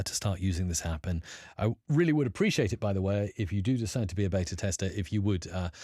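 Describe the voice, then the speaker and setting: A male live streamer talks in a low, warm voice, chatting loosely with his viewers. He rambles with lots of fillers but stays upbeat and encouraging. The sound is dry and close. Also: no echo. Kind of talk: casual live stream talk answering chat.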